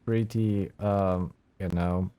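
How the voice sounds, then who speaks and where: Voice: low-pitched, fairly even intonation, slow pace, warm tone. A let's play streamer giving casual gameplay commentary in sparse, offhand reactions, often echoing phrases.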